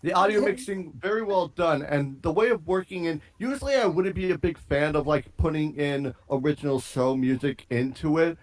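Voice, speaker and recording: A man giving casual critique in a group chat, measured and analytical. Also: a little high, rough recording. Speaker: guest reactor.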